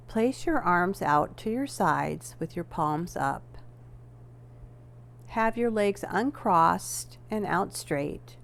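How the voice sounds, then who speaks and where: Very slow, low-voiced woman guiding relaxation. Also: gravelly. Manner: measured, gently instructing with deliberate pauses. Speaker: yoga nidra instructor. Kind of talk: guided relaxation meditation.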